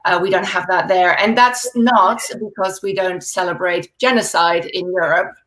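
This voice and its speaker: A woman in her forties, raised speaking Dutch in the Netherlands, doing a stand-up set over a video call with dry deadpan delivery and laughing asides. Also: on the low side, normal speed, rough recording. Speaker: stand-up comedian.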